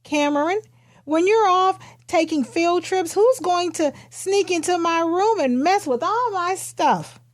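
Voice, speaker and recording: A fretful storybook relative pleads with a child , as read by a woman. The voice sits high, with questions that stretch out expressively. The recording is dry and free of room sound. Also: animated, unhurried, dry recording. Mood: worried, pleading. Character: fretful storybook family and neighbours questioning a little girl.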